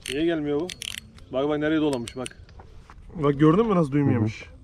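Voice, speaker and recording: A man's voice: fairly high pitch, moderate pace, a little mellow. A man chatting casually with his friends outdoors in an informal, offhand exchange. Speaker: fishing companion. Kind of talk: casual chat among friends. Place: outdoors on an open lake shore. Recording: very noisy outdoor camera audio.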